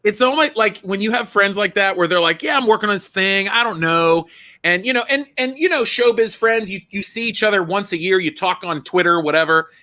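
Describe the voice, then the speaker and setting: Man, comedian host, high and bright, very lively pitch, laughs a lot, casual chat. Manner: animated, laughs often, quick bursts of storytelling. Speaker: father. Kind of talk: casual live-stream chat.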